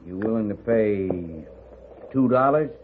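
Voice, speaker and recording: A hostile frontier horse thief in a vintage radio western. He talks low in curt, rustic American phrases, full of suspicion. The recording is narrow and plainly noisy, like old radio. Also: expressive, slow pace. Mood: suspicious, curt. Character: suspicious, gruff horse thief guarding his backwoods homestead. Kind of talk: 1950s radio western acting.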